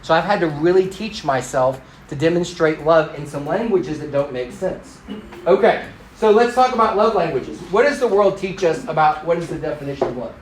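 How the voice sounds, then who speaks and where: A man in his fifties who grew up in Thailand, teaching a church class in an animated, joking way and teasing the people in it. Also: a little high, normal speed, slight background noise. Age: 50s. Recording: echoey room.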